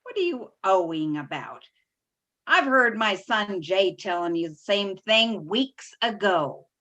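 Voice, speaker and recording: A woman's voice: medium pitch, very animated, takes it slowly, a bit bright, lo-fi sound. An actress playing a folksy, scolding, impatient older mother-in-law in an old-time radio drama. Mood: impatient, puzzled.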